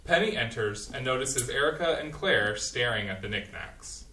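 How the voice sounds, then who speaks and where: A man's voice: medium pitch, expressive, moderate pace, bright, forceful tone, slight background noise. A man plainly reading the stage directions in a staged play reading. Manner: plain, matter-of-fact. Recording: echoey room, distant mic.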